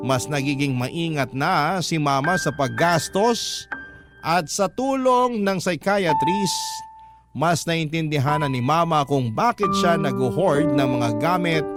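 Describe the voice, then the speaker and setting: A Filipino man narrates a scripted first-person radio story. His voice sits a little high for a man. Loud instrumental music plays behind him throughout. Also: slow.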